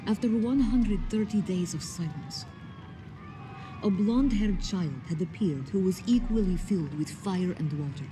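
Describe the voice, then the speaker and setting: A woman's voice: mid-range voice, moderate pace, background noise, lightly acted. A woman playing a solemn, devoted young villainess, a cult servant in a video game. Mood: solemn, reciting. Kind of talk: dramatic video game cutscene acting.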